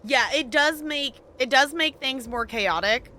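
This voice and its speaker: A woman live streamer chatting casually with her viewers while gaming, thinking aloud with halting pauses. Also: sits high, bright, forceful tone.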